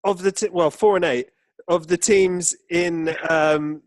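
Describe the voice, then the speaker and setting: A man co-hosting a football podcast in casual banter, blunt, grumbling and quick to swear. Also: sits high, fairly even intonation, dry recording.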